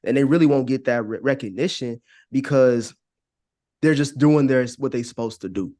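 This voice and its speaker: Casual American male podcast voice, mid-pitched, loose and rambling, swears freely and circles back on phrases. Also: moderate pace, a little mellow. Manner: rambling, repeats phrases, frequent "you know what I'm saying", profane. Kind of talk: casual conversational podcast opinion.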